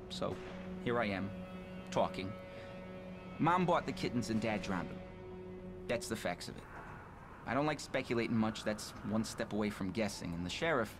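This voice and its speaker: Earnest young American deputy, music behind. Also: male voice, lowish voice. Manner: earnest, halting, plain-spoken. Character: earnest, awkward young small-town sheriff's deputy man. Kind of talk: video game dialogue.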